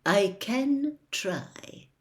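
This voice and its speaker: A woman voicing a cold, controlled male wizard and spy, speaking quietly and with measured care in an audiobook. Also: fairly high pitch, animated. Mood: quiet, solemn. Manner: quiet, slow, coldly measured. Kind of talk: audiobook character voice.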